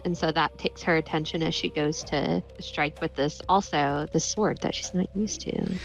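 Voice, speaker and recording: A woman's voice: low voice, steady tone, moderate pace, vocal fry, soft background music. A woman playing a tabletop game, steadily describing what her character does. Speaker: player. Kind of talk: casual tabletop play. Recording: dull lo-fi recording.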